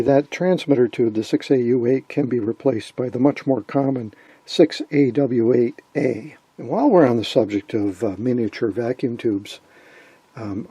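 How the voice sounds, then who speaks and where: Husky, mellow-voiced man demonstrating electronics repair. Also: medium pitch, dry recording. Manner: informal, technical, thinking aloud. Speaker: host of an electronics repair vlog. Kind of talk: casual hands-on demonstration commentary.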